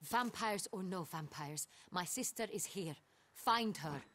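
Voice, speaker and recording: A woman's voice: fairly low pitch, lively intonation, measured pace, a bit bright, a little hiss or hum. A woman playing a proud, decisive duchess in a video game, formal and commanding. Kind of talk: cinematic video game dialogue.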